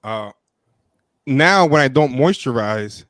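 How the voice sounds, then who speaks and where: A man's voice: medium pitch, expressive, slow, a bit bright, dry recording, studio quality. Laid-back man laughing at himself on a call. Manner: self-deprecating, laughs often, trails off with fillers. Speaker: podcast co-host. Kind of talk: casual chat on a livestream. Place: home room with plants and posters. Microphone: studio mic with headset, on a video call.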